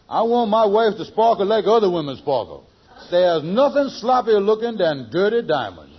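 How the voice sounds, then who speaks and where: A man's voice: high voice, big pitch swings, moderate pace, slightly rough, faint music behind, exaggerated voice. A man playing a scheming, blustering middle-aged con man in a 1940s radio sitcom, stammering and repeating himself. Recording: muffled vintage radio recording.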